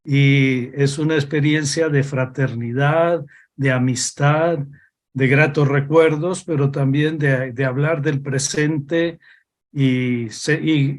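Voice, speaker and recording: Slow-speaking older Costa Rican man. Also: on the high side. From Costa Rica. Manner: slow, reflective, hesitant with pauses. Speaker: guest, religious brother. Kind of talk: interview over video call.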